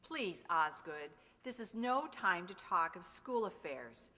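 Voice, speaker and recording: Bright, insistent middle-aged wife played by a woman. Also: on the low side, lively intonation, slow, heavily acted. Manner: insistent, chiding. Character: principal's fussy, matchmaking middle-aged wife. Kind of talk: radio sitcom acting. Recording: echoey hall, long reverb.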